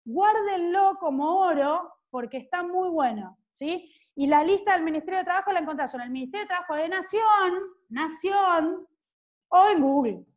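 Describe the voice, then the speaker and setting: A woman's voice: extremely high pitch, normal speed. A woman in her forties with an Argentine accent, giving an online law class in a chatty way, joking with students by name. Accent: Argentine Spanish. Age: 40s. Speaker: law lecturer.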